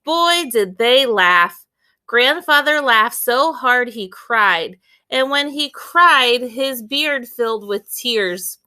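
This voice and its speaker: A woman reading a children's book aloud as an expressive storyteller, with deliberate, clear phrasing. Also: a little high.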